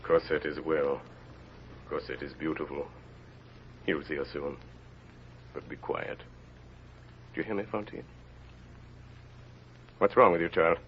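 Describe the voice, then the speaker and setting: An actor playing a grave, protective mayor with a hushed, soothing manner in an old radio drama. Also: male voice, extremely low pitch, measured pace, pauses often. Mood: tender, grave. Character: grave, protective male mayor with a hidden past. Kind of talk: 1930s radio drama acting. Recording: dull, noisy vintage radio recording.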